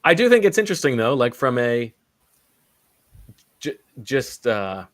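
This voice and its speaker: A man's voice: medium pitch, sing-song, measured pace, no echo. A man co-hosting a sports podcast in casual chat, joking and teasing his partner.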